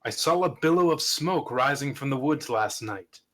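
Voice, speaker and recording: A man's voice: medium pitch, lively intonation, moderate pace, a bit bright, natural voice. A man playing a watchful, protective dragon who speaks in an earnest, declamatory way at a script table read. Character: watchful, protective male dragon guarding a princess.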